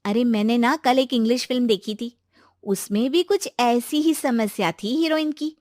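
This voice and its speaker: A woman's voice: a little high, moderate pace, a little mellow, no room sound, studio quality, natural voice. A woman voicing a sensible, concerned young college friend in a story, chatty and explaining things. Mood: helpful.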